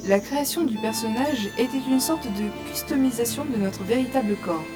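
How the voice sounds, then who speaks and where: A woman's voice: on the high side, normal speed, natural voice. An actress playing a curious, teasing young gamer who narrates her adventure as a novice ranger in an audio drama. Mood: absorbed, reflective. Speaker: narrator and lead character. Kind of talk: first-person audio drama narration with dialogue. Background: prominent music.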